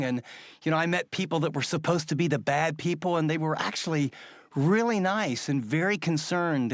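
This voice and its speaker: A man's voice: on the high side, dry recording, studio quality. A man hosting a conversational religious interview, speaking in an earnest, reflective way. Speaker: interview host.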